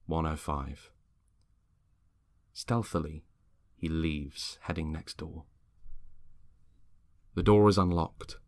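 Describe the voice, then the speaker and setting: A man's voice: a bass voice, slow pace, pauses often. A man reading a scripted story aloud as a dramatic narrator. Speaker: story reader.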